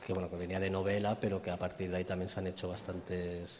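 Deep monotone guy on a panel, echoey hall, bit of background noise. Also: fast. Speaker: panel speaker. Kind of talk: casual commentary. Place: stage of a large auditorium. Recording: muffled, echoing hall with faint noise.

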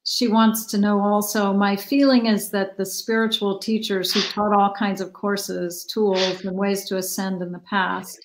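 A woman's voice: medium pitch, little pitch movement, unhurried, few pauses, slight background noise, low-quality recording. A middle-aged woman moderating an online session, reading a viewer's question aloud from her living room on a video call.

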